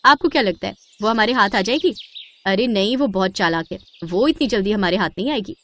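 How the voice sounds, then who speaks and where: A woman's voice: medium pitch, expressive, a little fast, natural voice. A woman voicing gossipy young daughters-in-law, sarcastic and conspiratorial, in a moral story. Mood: suspicious. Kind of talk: acted moral-story dialogue.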